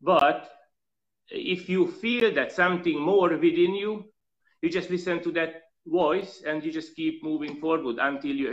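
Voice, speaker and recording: A man who is not a native English speaker, with a slight European accent, telling his life story earnestly in an interview and pausing often on fillers. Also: fairly high pitch, a bit bright, lo-fi sound. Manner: earnest, reflective, halting with fillers. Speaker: guest.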